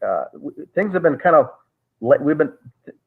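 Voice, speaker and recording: A man, a guest on a call, recounting events in a measured, halting way with frequent restarts. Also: on the high side, sing-song, gravelly, slightly rough. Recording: tinny phone-like call audio.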